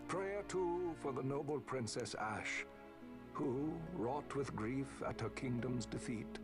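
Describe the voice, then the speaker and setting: A man's voice: mid-range voice, slow, over music, close to an everyday voice. An actor playing a solemn older nobleman in a video game, giving formal, stately narration and oratory. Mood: grave, stately. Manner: grave, stately, declamatory.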